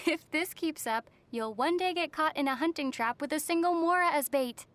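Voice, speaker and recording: A woman voicing a young traveler heroine in a video game, gentle and wry with a soft, teasing manner. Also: sits high, normal speed.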